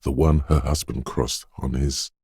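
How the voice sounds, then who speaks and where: A man voicing a detective's quick-witted inner voice in a video game, narrating in a measured, deliberate way with short, wry, alert asides. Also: very deep voice, fairly even intonation, a little mellow, breathy edge, no room sound, studio quality, heavily acted. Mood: measured, ominous. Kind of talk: scripted game narration, second-person inner voice.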